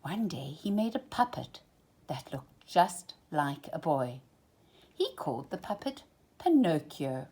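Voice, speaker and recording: A woman storyteller reading a children's storybook expressively, with a deliberate, dramatic delivery that moves between full voice and hushed phrasing. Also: deep voice, very animated, breathy edge.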